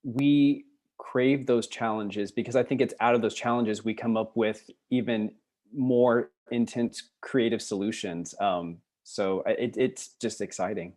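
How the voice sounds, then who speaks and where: A man speaking as a theater designer on a casual panel, explaining his thoughts in a halting, considered way. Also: fairly low pitch, fairly even intonation, a little mellow.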